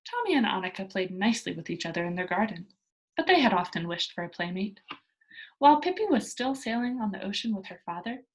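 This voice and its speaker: A woman reading a children's story aloud as narrator, in a deliberate, expressive storytelling manner. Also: mid-range voice.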